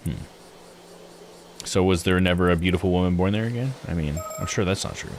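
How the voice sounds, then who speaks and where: Low-voiced man, casual game commentary. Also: a little fast, noisy background. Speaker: streamer reading a visual novel aloud. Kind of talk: casual let's-play commentary.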